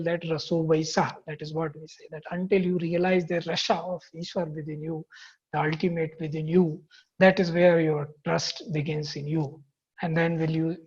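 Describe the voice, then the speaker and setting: A man's voice: a little high, darkish tone, breathy edge, dull audio. A man with an Indian accent, a native Hindi speaker, teaching as a guru in short, pondered phrases during an online question-and-answer session. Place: online video meeting.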